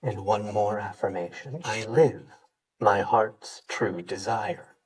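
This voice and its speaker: A man teaching yoga, leading a guided meditation with gentle, unhurried instructions and pauses. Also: on the low side, husky, recorded from a distance, rough recording.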